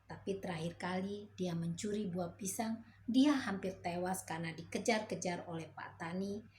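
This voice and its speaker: A woman storyteller reading a fairy tale to children in a gentle, motherly way. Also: on the low side, fairly even intonation, normal speed, slight background noise.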